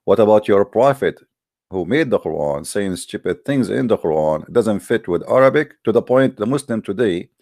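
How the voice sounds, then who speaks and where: A man who is not a native English speaker talks to his viewers as an online debate host, combative and emphatic, stumbling over his grammar with many hesitations. His voice sits very low. The sound is dry and close, with no room around it. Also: expressive, normal speed, a little mellow, no room sound. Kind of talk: addressing viewers before taking calls.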